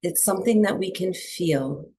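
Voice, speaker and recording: A woman teaching breathwork and meditation to a small group, speaking softly and soothingly with long pauses between phrases. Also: fairly low pitch, breathy edge. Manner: soft, unhurried, soothing delivery with long pauses.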